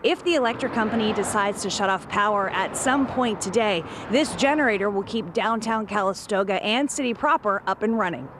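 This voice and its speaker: A woman news reporter delivering a scripted report outdoors beside a highway. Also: a little fast, few pauses. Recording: faint outdoor roadside noise.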